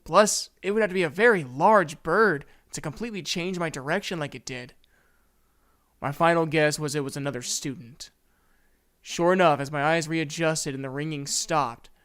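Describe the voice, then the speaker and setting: A man's voice: a little high, fairly bright tone, smooth, lightly acted. A man playing an earnest young male student who narrates his own story in a brooding, first-person audio drama. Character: earnest young male student, a beast-folk huntsman in training. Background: background music.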